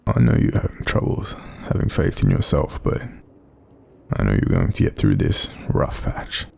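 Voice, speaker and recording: Man playing a very deep, gravelly Australian demon, gentle. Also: sing-song, moderate pace, exaggerated voice. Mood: tender, encouraging. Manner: gentle, reassuring, informal. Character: kind, supportive male sleep paralysis demon watching over someone. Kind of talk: soothing roleplay audio monologue.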